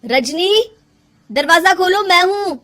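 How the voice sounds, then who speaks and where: A woman voicing an earnest, puzzled young husband in an animated moral story, questioning and insistent in manner. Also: very high-pitched, takes it slowly, pitched above the performer's own voice, exaggerated voice. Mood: upset, insistent.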